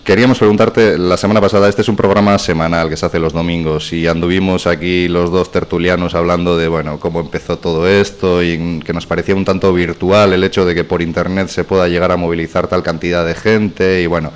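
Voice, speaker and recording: A man's voice: sits low, level tone, fairly quick, hardly any pauses. A man co-hosting a radio show, introducing and interviewing a guest by phone, hesitant and polite. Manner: hesitant, polite, searching for words.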